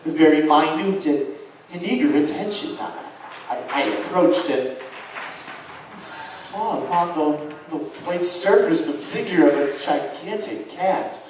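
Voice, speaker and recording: A man performing a live dramatic reading as a tormented, halting murderer confessing in a Gothic horror tale. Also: a little high, a little mellow, lightly acted. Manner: halting, stammering, repeating words mid-phrase. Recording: echoey, distant live show recording, faint noise.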